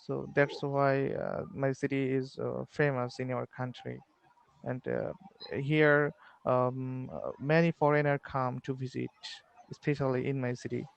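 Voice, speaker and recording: A man from Bangladesh with a Bangladeshi accent, a learner on a video call in an English lesson, speaking haltingly with many fillers and restarts. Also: medium pitch, vocal fry, clear, resonant tone, highs cut off. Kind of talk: online English lesson conversation.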